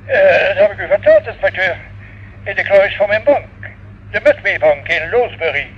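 A man's voice: high voice, normal speed, slightly rough. An actor in a radio play voicing a polite, hesitant businessman answering questions. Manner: hesitant, courteous. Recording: muffled telephone voice in old radio play.

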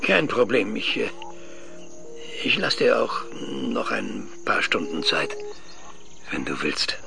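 An actor playing a calm man who speaks gently in a German radio play. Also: fairly low pitch, slow, gravelly, music in the background, exaggerated voice. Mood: gentle.

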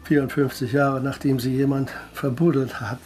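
An actor playing a matter-of-fact investigator in a radio crime drama, explaining in a sober, precise way. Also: male voice, medium pitch, flat delivery, normal speed, a little mellow, soft background music, close to an everyday voice.

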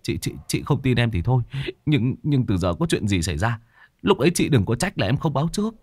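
A man's voice: medium pitch, sing-song, fairly quick, a little mellow, no echo. A man voicing a frightened young man who stammers in a tearful, whining voice. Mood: sulky.

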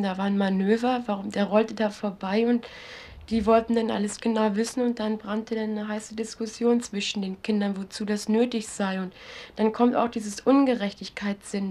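A woman speaking thoughtfully and in measured phrases, searching for words as she reflects. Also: medium pitch, warm tone. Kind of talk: reflective interview talk.

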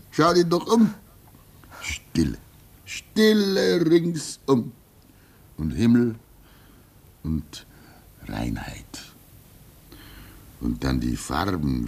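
A man in his sixties playing a weary, pious old keeper at a polar station in a radio play, speaking wistfully with soft laughs. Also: low voice, vocal fry, slightly rough, heavily acted. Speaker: actor.

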